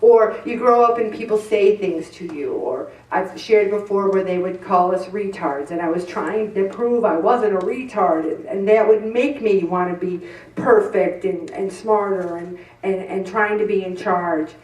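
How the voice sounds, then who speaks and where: A woman's voice: medium pitch, normal speed, darkish tone. Candid woman talking in an echoey room. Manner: candid and self-deprecating, acts out her inner voices. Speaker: group speaker. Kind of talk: faith-based talk to a group. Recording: roomy echo from some distance.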